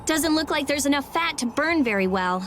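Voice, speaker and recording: Blunt teen genius mage, high voice, lively intonation, snappy and matter-of-fact, game dialogue. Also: female voice, normal speed, a little hiss or hum. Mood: snappy, irritated. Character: brainy, blunt, prickly teenage girl mage. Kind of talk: animated game voice acting.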